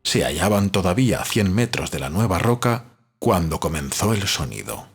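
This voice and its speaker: A man reading a novel aloud as a narrator, in a measured, solemn storytelling manner. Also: deep voice, very animated, husky. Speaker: audiobook narrator. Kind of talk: scripted literary narration of a novel.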